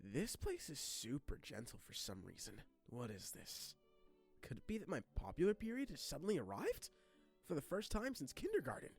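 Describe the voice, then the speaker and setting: A man plays a dramatic teenage anime boy with an excitable, self-deprecating streak. His voice is husky and very animated in pitch. His delivery is theatrical and exclamatory, with music behind him. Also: normal speed, heavily acted. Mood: bemused, eager. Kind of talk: anime fan dub acting.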